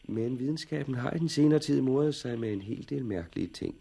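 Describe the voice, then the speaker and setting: Gravelly, husky brooding male expert. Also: medium pitch, lively intonation, warm tone. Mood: brooding, ominous. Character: brooding, cynical male plant expert with grim theories. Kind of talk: 1960s radio drama acting.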